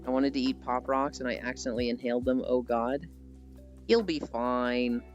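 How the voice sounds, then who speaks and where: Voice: mid-range voice, steady tone, normal speed. A livestreamer chatting casually and answering viewers in a rambling, playfully teasing way with mild swearing. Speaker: livestreamer, host. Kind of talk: casual livestream chat, reading and answering chat messages. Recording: dull lo-fi audio with music. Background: music.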